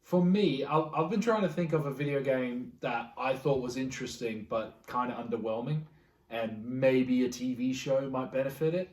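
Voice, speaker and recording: A man talks informally on a group podcast, with swearing as part of his style. His pitch sits in the middle of the male range. The sound is roomy, with clear echo around the voice. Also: normal speed, darkish tone. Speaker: podcast co-host. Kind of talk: casual group podcast chat. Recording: roomy, echoing room sound.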